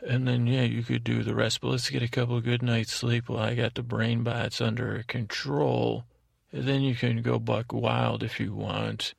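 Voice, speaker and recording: A male podcast host with a creaky, gravelly voice, rambling haltingly through a whimsical, self-deprecating bedtime monologue in a deliberately dull drone. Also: mid-range voice, flat delivery, no room sound, clean studio sound.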